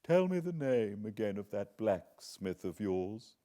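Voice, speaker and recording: A man's voice: on the low side, very animated, measured pace, mellow. A British man voicing an imperious, impatient old lady in an audiobook, curt and haughty. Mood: imperious, displeased. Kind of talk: acted audiobook character.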